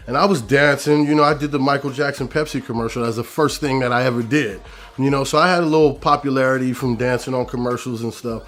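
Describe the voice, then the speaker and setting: A man's voice: mid-range voice, fairly even intonation, fast talker, a bit bright. A man who works as a singer, trainer and coach, telling personal stories casually in an interview.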